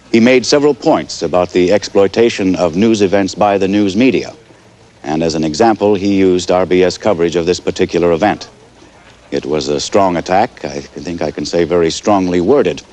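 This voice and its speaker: An actor playing a composed, formal male network anchor in a 1980s TV news drama, measured and firm. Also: low voice, a little mellow, lightly acted. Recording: faintly noisy 1980s television broadcast.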